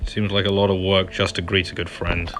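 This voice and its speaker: A man's voice: low-pitched, flat delivery, brisk. A man giving casual commentary while playing through a video game. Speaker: gamer commentating a playthrough.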